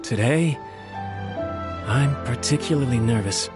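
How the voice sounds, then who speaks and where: A man's voice: medium pitch, unhurried, close to an everyday voice. An actor voicing an anxious, deferential young male retainer in a video game, speaking hesitantly and apologetically with a stammer. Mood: worried. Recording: background music under voice.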